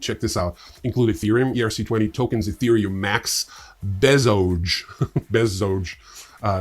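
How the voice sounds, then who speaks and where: A man presenting scripted news as host, with casual, sardonic asides in a wry manner. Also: fairly low pitch. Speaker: news presenter of a crypto newsreel.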